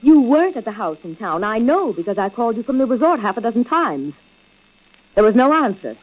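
An actress playing a composed, suspicious wronged wife in a vintage radio thriller, with a deliberate, theatrical delivery. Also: female voice, fairly high pitch, very animated. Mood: accusing. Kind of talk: 1940s-50s radio drama acting. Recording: dull vintage radio recording.

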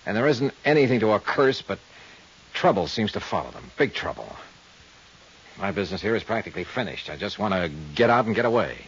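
An actor playing a world-weary, hard-boiled investigator, terse and wry, in 1950s radio noir. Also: male voice, on the low side, sing-song, a little fast, slightly rough, full character voice. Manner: terse, dry, wry tough-guy delivery. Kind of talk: 1950s radio noir acting and first-person narration. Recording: muffled 1950s radio recording with music.

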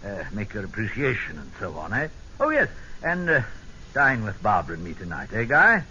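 A hearty British army general, middle-aged and clubbish, in a vintage radio play. His delivery swings up and down with a bright, forceful edge, in clipped, hemming phrases. The recording is dull with some noise. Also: fairly low pitch, huge pitch swings, brisk, full character voice. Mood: genial, clubbish. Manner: clipped, hemming, hearty upper-class officer talk. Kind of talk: old-time radio drama acting.

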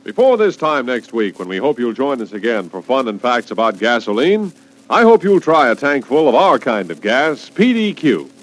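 A male announcer reads a scripted 1940s radio advertisement. His medium-pitched voice moves with very lively intonation as he makes the sales pitch. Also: rough recording. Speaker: commercial announcer.

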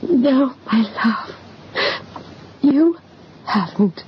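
Very slow, emotional devoted wife. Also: female voice, high voice, expressive, exaggerated voice. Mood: tearful, reassuring. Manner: tender, emotional. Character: distressed, devoted wife reassuring her husband. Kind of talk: radio drama acting. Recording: dull, noisy old radio broadcast.

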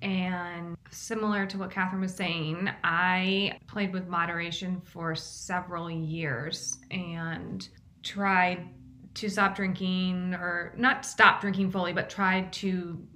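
A bright, clear-toned woman in her late thirties. She co-hosts a podcast and talks quickly and casually, often laughing and restarting her sentences. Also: lowish voice, level tone, slight background noise. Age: 30s. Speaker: podcast co-host. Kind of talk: casual chat.

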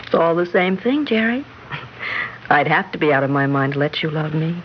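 A woman's voice: low voice, expressive, moderate pace. An actress playing a lovestruck, tender, anxious married woman in an affair, in old radio-drama style. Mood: adoring. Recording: muffled old radio recording, background noise.

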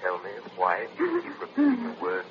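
A man acting a mysterious unseen crime fighter in an old radio drama, speaking in a hushed, insistent, commanding way. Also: high-pitched, huge pitch swings, brisk, creaky, full character voice. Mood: urgent, hushed. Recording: muffled, noisy vintage radio recording.

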